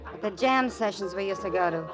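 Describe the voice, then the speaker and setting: A woman's voice: mid-range voice, brisk, lightly acted. An American woman playing a bitter, guarded young nightclub blonde in a 1940s radio drama, sardonic and tough-talking. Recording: vintage radio drama with music behind.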